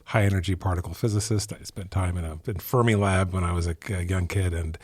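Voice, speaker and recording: Deep-voiced man, thoughtful, dry sound. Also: steady tone, fast, a little mellow, dry recording. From Ethiopia. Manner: thoughtful, meandering, frequent restarts. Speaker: physician and business executive guest. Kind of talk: casual interview answers.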